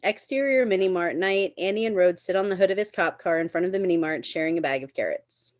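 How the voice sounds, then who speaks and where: A woman's voice: lowish voice, fast talker, dry recording, dull audio. A woman reading stage directions as narrator at a screenplay table read.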